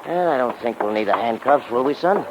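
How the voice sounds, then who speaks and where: A man's voice: mid-range voice, lively intonation, brisk, lightly acted. Officious, folksy American male sheriff, played by an actor, unruffled interrogator, 1940s radio, muffled with faint noise. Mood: patronizing. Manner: formal questioning, patronizing. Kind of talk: 1940s radio drama acting. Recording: muffled, hissy old radio recording.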